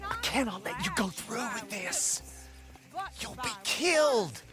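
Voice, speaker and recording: An actor playing a lovesick, anxious young gentleman in a period video game, speaking earnestly and pleadingly with a genteel American Southern accent. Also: very high voice, big pitch swings, unhurried, music in the background, heavily acted. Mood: alarmed, pleading.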